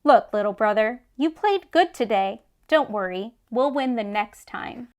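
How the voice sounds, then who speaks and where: A woman's voice: on the high side, lively intonation, measured pace, darkish tone. A woman voicing an encouraging, easygoing older brother in a storybook. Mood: consoling. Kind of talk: storybook character voice.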